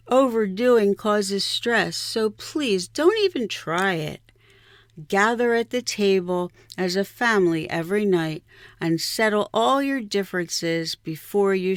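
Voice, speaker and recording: Slow-paced woman reciting poetry. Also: medium pitch, no echo, studio quality. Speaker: poet reading own poem.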